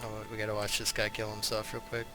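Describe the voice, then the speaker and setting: A man's voice: lowish voice, monotone. Casual man commentating over game music. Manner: rambling, thinking aloud with halting pauses. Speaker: video game streamer. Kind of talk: casual live gameplay commentary.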